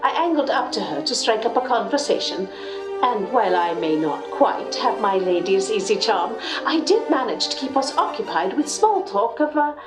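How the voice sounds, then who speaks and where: A woman playing an eager, devoted assistant in a period radio mystery, speaking in an earnest, proper and excitable way. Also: on the high side, normal speed, over music, low-quality recording. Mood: earnest storytelling. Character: eager, devoted young female assistant telling her own tale. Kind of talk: dramatic first-person narration and period radio acting.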